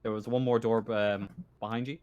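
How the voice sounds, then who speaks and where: A man narrating an improvised tabletop roleplaying game as game master, describing things in a casual, halting way. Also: lowish voice, level tone, darkish tone, smooth, muffled recording.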